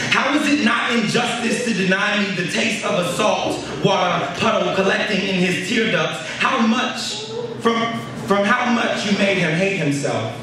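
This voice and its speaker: High-voiced man performing poetry in an echoey room. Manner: impassioned, declamatory, rhetorical questioning. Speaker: spoken word poet. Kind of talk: spoken word poetry performance. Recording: very echoey room, distant mic, clear noise.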